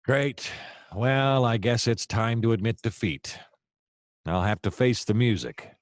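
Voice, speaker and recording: A man's voice: medium pitch, measured pace, no echo, studio quality, close to an everyday voice. A man playing a wry, self-pitying private detective in a noir radio play, narrating and speaking with theatrical flourish. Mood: defeated, theatrical.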